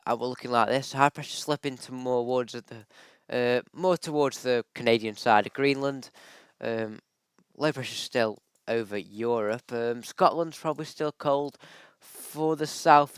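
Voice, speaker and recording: A man's voice: mid-range voice, clean tone, no room sound. A man with a bad cold and a slightly off voice, chatting casually through weather charts with plenty of ums. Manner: chatty, lots of ums and likes, thinks aloud. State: has a bad cold, voice a little off. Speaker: weather forecaster. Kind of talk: casual forecast vlog over screen-shared charts.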